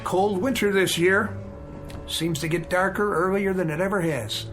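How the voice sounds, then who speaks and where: A man's voice: sits high, fairly even intonation, moderate pace, a bit bright, faint music behind. A man playing a friendly, chatty evening train conductor in a radio drama, with courteous routine patter. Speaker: actor.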